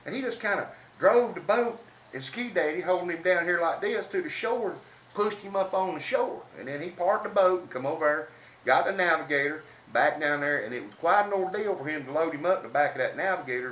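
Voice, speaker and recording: A Southern American man tells funny stories in a colloquial, country manner. His voice sits slightly high. The recording is distant and somewhat dull, with a faint noise under it. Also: animated, fast, reverberant. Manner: folksy, colloquial, salty. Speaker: comedic storyteller. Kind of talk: informal humorous storytelling.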